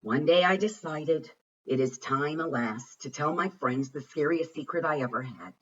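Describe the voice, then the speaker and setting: A man giving an expressive read-aloud of a rhyming children's picture book, with deliberate, dramatic phrasing. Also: a little high. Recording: muffled, distant microphone.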